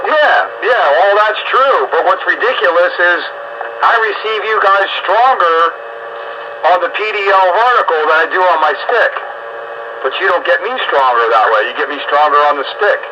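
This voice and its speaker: A man with a slightly high voice talks casually over a two-way radio. The signal is dull and band-limited, with clear noise behind him. He is blunt and exasperated, thinking out loud as he goes. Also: lively intonation, moderate pace. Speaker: amateur radio operator. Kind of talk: casual on-air radio chat.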